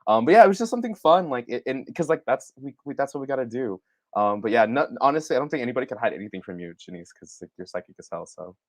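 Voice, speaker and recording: A man's voice: medium pitch, animated, quick pace, dry recording. A man chatting casually as a live session host, in a rambling, informal and hesitant way. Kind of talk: casual chat.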